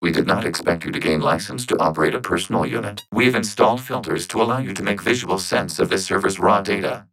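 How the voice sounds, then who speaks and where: Game robot, low-pitched, synthetic processing, calm, formal, precise, literal, collective machine mind. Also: male voice, fast, vocal fry, few pauses, low-quality recording. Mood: measured, matter-of-fact. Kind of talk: scripted video game character dialogue.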